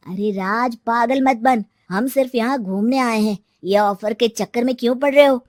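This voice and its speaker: Casual young male friend in a story, read by a woman: high, questioning, dry studio sound. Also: moderate pace, a little mellow, no echo, natural voice. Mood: cautioning.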